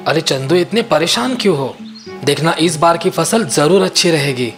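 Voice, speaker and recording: A man's voice: a little high, lively intonation, normal speed, a bit bright. Casting: male actor as sensible village farmer, caring and advising, moral-tale style, faint music underneath. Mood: reassuring. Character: sensible, contented male farmer, a concerned friend. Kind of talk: dramatized moral story for children.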